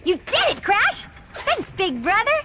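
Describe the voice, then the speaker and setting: A woman's voice: extremely high pitch, wildly swooping pitch, a little slow, background noise, heavily acted. A woman voicing a perky, brainy young bandicoot girl, a plucky little sister in a cartoon video game, with a peppy girlish delivery. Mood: excited.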